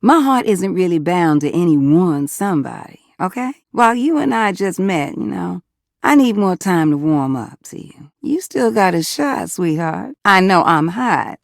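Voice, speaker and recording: Sassy, swooping American flirt. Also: female voice, fairly low pitch, sing-song, moderate pace, creaky, dry recording, clean studio sound. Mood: flirty, teasing. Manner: folksy, sassy, teasing with pet names. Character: sassy, flirtatious female household object, hot and confiding. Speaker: voice actor in a dating simulator game. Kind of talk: flirty character dialogue, game acting.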